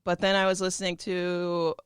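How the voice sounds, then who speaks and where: A woman's voice: fairly low pitch, level tone, clean tone, no echo. A woman who works as a voice actor, chatting as a guest in a podcast studio in a playful, teasing way. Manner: playful, teasing, quick to laugh. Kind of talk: casual chat.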